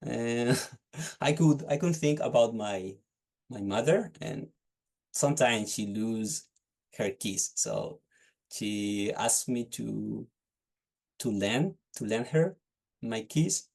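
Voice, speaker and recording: A young man with a Chilean accent speaks slowly, in a low, gravelly, mellow voice. He pauses and restarts phrases as he gropes for words. He sounds like he is on a video call. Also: lively intonation. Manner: hesitant, restarts phrases while searching for words. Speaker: test candidate. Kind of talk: unscripted speaking-test answers.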